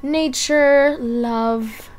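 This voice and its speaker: A woman with a high voice giving casual, chatty commentary as a gaming video host, thinking aloud in short offhand remarks. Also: fairly even intonation, extremely slow.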